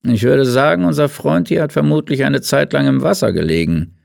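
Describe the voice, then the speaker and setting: Guy doing a weary coroner, chill and musing, a bit gravelly, audiobook. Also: mid-range voice, lively intonation, brisk, a little mellow, no room sound, studio quality, voice pushed up. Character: weary, laid-back male forensic pathologist.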